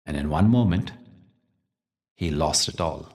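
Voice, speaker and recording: A man hosting a guided meditation, speaking and telling stories in a gentle, deliberate, soothing way with dramatic pauses. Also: extremely low pitch, expressive, far from the mic. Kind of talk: guided meditation talk with storytelling.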